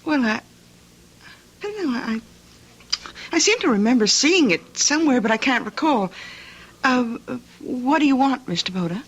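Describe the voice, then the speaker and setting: An actress playing an earnest young schoolteacher in an old radio drama, with a hesitant, emotional delivery. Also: female voice, sits high, animated, moderate pace, pauses often, lo-fi sound. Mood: polite, uneasy. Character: sheltered young schoolteacher, gangster's daughter, earnest and distraught.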